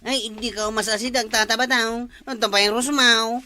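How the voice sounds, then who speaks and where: A woman's voice: a little high, steady tone, normal speed, sharp tone. A woman with a Filipino accent playing a whiny, money-minded worker in a radio comedy drama. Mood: complaining.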